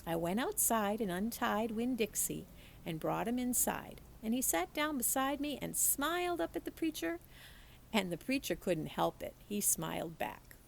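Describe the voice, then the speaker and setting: A woman reading a children's story aloud in an expressive, animated storytelling manner. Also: on the high side, moderate pace, vocal fry, a little hiss or hum, poor audio. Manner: animated storytelling, acts out dialogue. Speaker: teacher reading a book aloud. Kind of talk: expressive children's audiobook-style read-aloud.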